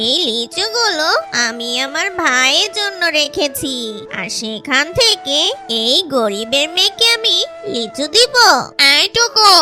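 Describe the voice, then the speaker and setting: A woman's voice: high-pitched, sharp tone, pitched below the performer's own voice, full character voice. Woman voicing a scornful, scolding cartoon mother. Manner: scolding, contemptuous. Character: stern, scornful mother guarding her lychees.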